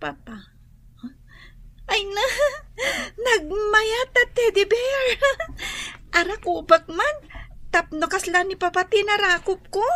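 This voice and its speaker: A woman acting an excitable, sweet little girl in an Ilocano radio drama, with a childlike, whiny voice. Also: very high-pitched, heavily acted. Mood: touched, tearful joy. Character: excitable, sweet, inquisitive little girl missing her father.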